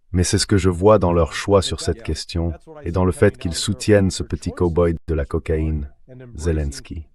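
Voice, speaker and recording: Synthetic male dub voice, very deep, for a political pundit pressing arguments with rhetorical questions. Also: mellow. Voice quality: synthetic AI dubbing voice. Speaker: political commentator, interview guest. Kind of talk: dubbed voice-over of political commentary.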